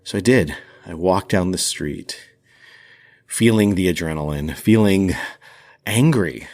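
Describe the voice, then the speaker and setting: Low-voiced man telling a personal story. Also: animated, unhurried, darkish tone. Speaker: podcast host and storyteller.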